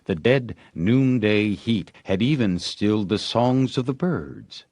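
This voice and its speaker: Slow, low-pitched male audiobook narrator, very animated. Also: no room sound. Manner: expressive, theatrical storytelling. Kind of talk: dramatic literary audiobook narration.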